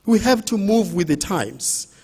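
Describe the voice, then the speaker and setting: A man's voice: sits high, unhurried, breathy edge, long reverb tail. A man hosting a campus health event, speaking to a crowd and calling people to come forward. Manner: animated, calls the crowd to join in. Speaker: event host. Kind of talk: public address at an outdoor event.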